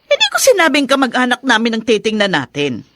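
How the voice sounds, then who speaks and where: A woman's voice: fairly high pitch, wildly swooping pitch, quick pace, a bit bright, no room sound, clean studio sound. A Filipino actress playing a haughty, short-tempered rich mother, imperious and scolding in melodramatic radio drama style. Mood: bossy, irritated.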